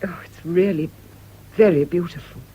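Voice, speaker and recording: A woman's voice: fairly low pitch, moderate pace, dull audio, slight background noise, lightly acted. An actress with a British accent playing an intense, lovelorn, repressed woman in a fervently theatrical radio drama. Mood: tender, hesitant.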